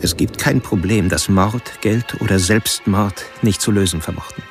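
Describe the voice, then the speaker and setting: An actor playing a self-absorbed serial killer who delivers solemn, pompous philosophical monologues in a radio drama. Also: male voice, fairly low pitch, moderate pace, over music, natural voice. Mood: grandiose, brooding.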